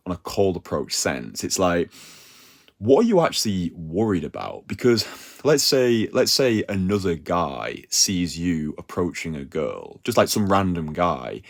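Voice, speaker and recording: A young man giving dating advice in a casual, animated talk, peppering his speech with "like" and "yeah?". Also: low voice, moderate pace. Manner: animated, slangy, full of "like" and "yeah?" tags. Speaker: dating coach.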